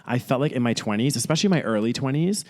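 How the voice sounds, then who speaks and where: A man's voice: mid-range voice, animated, quick pace. A man in his thirties chatting casually and informally as a podcast co-host, talkative and peppering his sentences with "like". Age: 30s.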